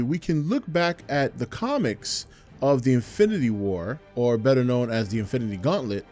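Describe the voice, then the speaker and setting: A man's voice: medium pitch, darkish tone. A man hosting a video with scripted narration. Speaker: video host. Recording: music behind the voice. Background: music.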